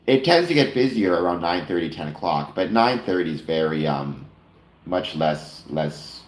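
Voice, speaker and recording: A man's voice: sits low, very animated, moderate pace. A man hosting a casual late-night chat stream, talking to viewers with many fillers and self-corrections, a little nasal. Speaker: live stream host. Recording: roomy echo.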